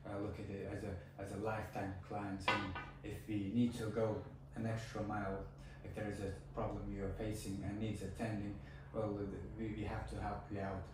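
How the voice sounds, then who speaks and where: A man's voice: on the low side, flat delivery, a little fast, few pauses. A man in his thirties, a renovation business owner, courteously questioning a client with frequent hesitations. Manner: hesitant, many fillers, courteous. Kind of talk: interviewing a client. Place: home dining room, indoors. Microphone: far camera. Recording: echoey room, far from mic, noisy.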